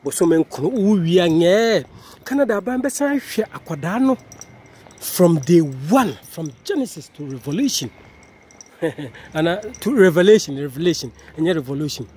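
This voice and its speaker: A man's voice: fairly high pitch, lively intonation, moderate pace, dark tone, breathy edge. A man with a Ghanaian accent chats casually and jokily to his viewers, talking into a handheld phone as he walks outside. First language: Twi. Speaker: vlogger, channel host. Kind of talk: casual walking vlog to camera. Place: outdoors, walking around a housing complex. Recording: noisy outdoor phone recording.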